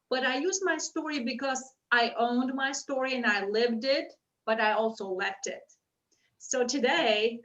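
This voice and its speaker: A woman from Bulgaria speaks in a fairly high voice, earnest and measured. She stresses her points in steady lists. She sits back from the mic of a video call in an echoey room. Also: far from the mic. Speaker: women's empowerment coach. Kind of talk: prepared panel introduction.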